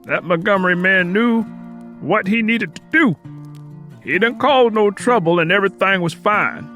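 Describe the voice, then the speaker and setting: A man's voice: high-pitched, normal speed, bright, forceful tone, pitched above the performer's own voice. A man playing a bitter, stubborn older man in an audiobook, lecturing in folksy Southern US dialect. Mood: bitter, warning. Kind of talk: acted audiobook character dialogue. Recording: music playing under the voice.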